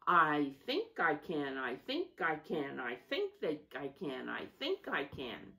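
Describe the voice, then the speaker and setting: A small female storybook engine, voiced by a woman, kind and modest but determined. She speaks earnestly and slowly, with lively pitch. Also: creaky, exaggerated voice. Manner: kind, earnest, repetitive chanting refrains.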